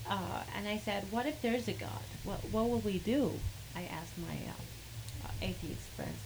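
Woman with an Arabic accent, noisy room. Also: medium pitch. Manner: halting, many fillers, laughs between sentences. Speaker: guest sharing a testimony. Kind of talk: personal storytelling.

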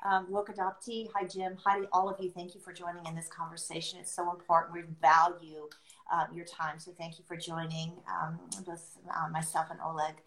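A middle-aged woman speaking reflectively and conversationally on a livestream, earnest and deliberate, pausing as she chooses her phrases. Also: lowish voice, completely flat delivery, fairly bright tone. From born outside the US, adopted into America. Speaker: podcast host sharing her experience as an adoptive mother. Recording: roomy, echoing sound.

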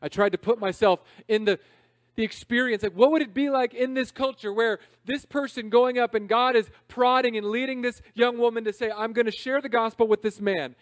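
A man in his thirties preaching a sermon in a conversational, self-deprecating way, swinging between loud emphasis and quiet asides. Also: very high-pitched, brisk, no room sound, clean studio sound. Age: 30s. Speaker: pastor.

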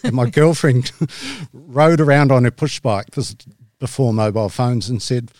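A man's voice: medium pitch, expressive, normal speed, darkish tone, no room sound, clean studio sound. A middle-aged man with a New Zealand accent, a podcast guest telling his story in a hesitant, self-deprecating way with many ums. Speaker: guest, media director. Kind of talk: casual interview talk.

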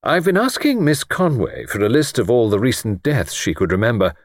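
A man's voice: medium pitch, big pitch swings, fairly quick, dry recording, clean studio sound. A man voicing a polite but outspoken younger English man, slightly nervous and punctilious. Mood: tentative. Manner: punctilious, blunt.

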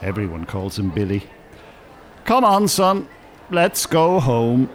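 An actor playing a bitter, pedantic older Irish retired English teacher in an audio drama, dry and well-spoken. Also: male voice, medium pitch, sing-song, takes it slowly, a little hiss or hum. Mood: flustered, pretending.

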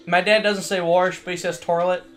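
A man's voice: high voice, level tone, a little fast, fairly bright tone. A young man with a Southern accent, casually telling stories as a podcast co-host, swearing, laughing and acting out his customers. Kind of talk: casual storytelling chat.

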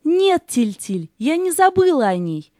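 A gentle, wise spirit of light acted by a woman from a fairy-tale play. The voice is fairly high and mellow, soft and solemn, in a dry recording. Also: big pitch swings, unhurried, no room sound. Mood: reassuring.

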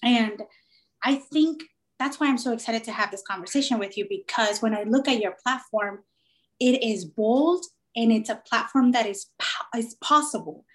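Gushing young woman interviewer, higher voice. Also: moderate pace. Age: 20s. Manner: gushing, enthusiastic, warm-hearted praise. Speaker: interviewer host. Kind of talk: casual interview.

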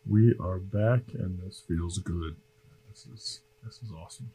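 A man co-hosts a casual podcast, chiming in conversationally. His voice is low in pitch and has a very dark, muted tone. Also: unhurried, a little hiss or hum. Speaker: podcast co-host. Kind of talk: casual chat.

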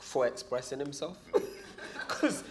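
A man co-hosting a live podcast, animated and laughing as he corrects a friend. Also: medium pitch, unhurried. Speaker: podcast co-host.

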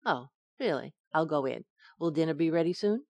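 An actress playing a feisty, playful housewife in a 1940s-style radio sitcom, with wry, teasing delivery. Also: female voice, low voice, lively intonation, moderate pace, vocal fry, a little mellow, no echo. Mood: brisk, cheerful.

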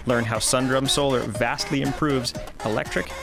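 A man reading a scripted product advertisement in a smooth promotional manner. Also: medium pitch, fairly even intonation, normal speed. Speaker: ad announcer. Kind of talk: scripted ad read. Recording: music bed behind.

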